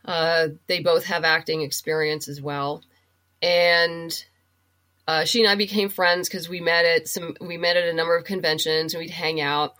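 Bright-voiced middle-aged woman in conversation. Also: on the low side, little pitch movement, moderate pace. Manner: chatty, explanatory. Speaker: podcast guest, writer and producer. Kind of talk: casual interview talk.

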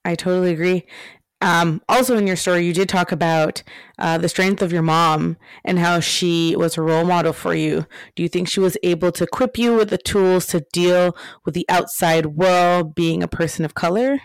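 A podcast host interviewing a guest, measured and affirming, asking reflective questions. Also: mid-range voice, steady tone, smooth, no room sound.

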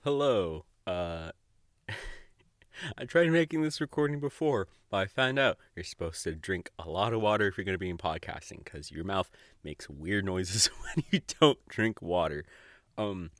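Low-voiced, halting, self-conscious man podcasting. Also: lively intonation, fairly bright tone, dry recording, clean studio sound. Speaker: podcast host. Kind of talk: casual rambling solo podcast talk.

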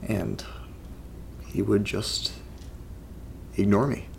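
A man playing an uneasy, reluctant character in a horror audio drama, speaking haltingly in a naturalistic monologue, as if muttering to himself. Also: fairly low pitch, vocal fry, slight background noise, exaggerated voice. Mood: uneasy, subdued. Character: uneasy, reluctant man exploring a creepy place and reading strange letters. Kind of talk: naturalistic found-recording audio drama monologue, partly reading a letter aloud.

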